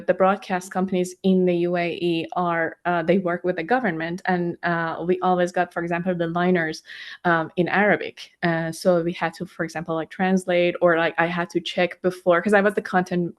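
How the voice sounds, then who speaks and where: A woman from Iran, a native Farsi speaker, guesting on a podcast and talking in a halting way with many fillers. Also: lowish voice. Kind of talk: casual conversation.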